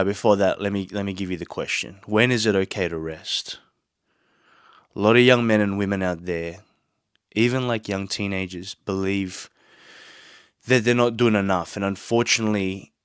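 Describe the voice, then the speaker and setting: A man's voice: lowish voice, fairly bright tone, clean tone, dry recording. A man hosting his own podcast in a small home studio, talking earnestly and motivationally while sick with a sore throat and a blocked nose. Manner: earnest, motivational, rambling asides. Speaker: podcast host. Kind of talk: casual solo podcast monologue.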